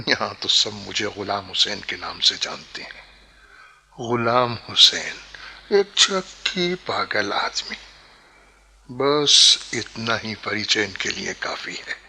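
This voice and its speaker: An actor playing an earnest secret patriot who poses as a court fool, rough-voiced and conspiratorial, often sinking into a breathy hush in theatrical radio-play style. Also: male voice, on the low side, lively intonation, slow, long pauses, full character voice. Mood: wary, secretive. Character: secret patriot posing as half-mad court servant.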